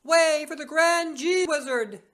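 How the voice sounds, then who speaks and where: A woman voicing a shrieking crowd of island people, crying out in shrill pleading. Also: unhurried, fairly bright tone. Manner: shrill pleading, exultant cries.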